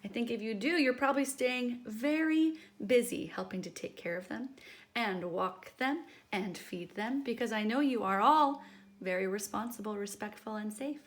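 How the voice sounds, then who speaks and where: A woman's voice: on the high side, normal speed. A woman hosting a children's story time, speaking to young listeners in a teacherly way with prompting questions. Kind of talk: read-aloud addressed to young children.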